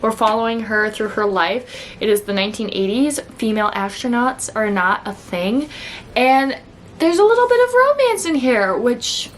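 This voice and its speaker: A woman's voice: fairly high pitch, lively intonation, moderate pace, slight background noise. A woman talking in an informal, conversational way, like a book vlogger chatting casually to viewers. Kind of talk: casual chat to camera, book review.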